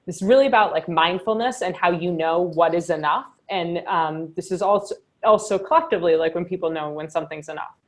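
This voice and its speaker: A woman's voice: on the low side, a little fast. A woman hosting a journaling workshop over a video call, talking casually with many fillers and "right?" asides. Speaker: workshop host.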